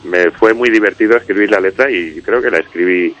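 Low-voiced man musician, easygoing radio guest who rambles and restarts, slightly muffled sound with faint music under it. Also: fairly quick, a bit bright. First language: Spanish. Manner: rambling, many fillers and restarts. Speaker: musician guest, singer and songwriter of a band. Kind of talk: casual radio interview.

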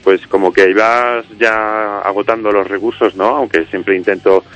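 A deep-voiced man talks loosely about his songwriting, hunting for words with plenty of fillers and false starts. His voice sits low, and it comes through a slightly dull radio sound with faint music behind him. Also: steady tone, moderate pace, fairly bright tone. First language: Spanish. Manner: rambling, many fillers and restarts. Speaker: musician guest, singer and songwriter of a band. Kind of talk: casual radio interview.

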